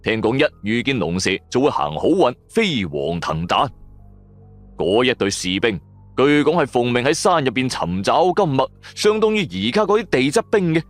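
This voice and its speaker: Animated man telling a supernatural story. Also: mid-range voice, moderate pace. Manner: expressive, suspenseful storytelling. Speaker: radio drama storyteller. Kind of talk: dramatic first-person supernatural story narration. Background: background music.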